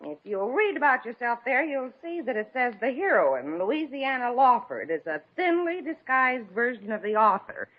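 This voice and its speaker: Wisecracking landlady, dry and teasing, animated pitch, punchy delivery, dull vintage radio comedy recording. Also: moderate pace, few pauses. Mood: sardonic, teasing. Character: dry, sardonic rooming-house landlady with teasing zingers. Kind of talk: 1950s radio comedy acting.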